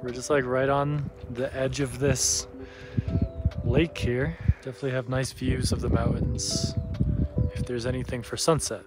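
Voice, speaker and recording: A young man gives a casual, plainspoken vlog update, talking into a camera held at arm's length. His delivery is low-key and music plays clearly behind him. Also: little pitch movement, unhurried. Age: young adult. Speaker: hiking vlogger. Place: outdoors in mountains and inside tents. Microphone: handheld camera at arm's length.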